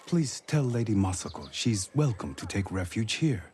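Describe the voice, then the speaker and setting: Evasive monk, very deep, lively pitch, hesitant, crickets faint. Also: male voice, normal speed, slight background noise. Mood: wary.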